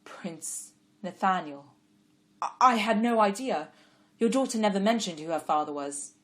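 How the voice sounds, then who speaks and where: A woman voicing an impetuous, lovestruck young man who talks loudly and urgently. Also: medium pitch, lively intonation, measured pace, pitched above the performer's own voice, lightly acted. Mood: sincere. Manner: loud, urgent calling.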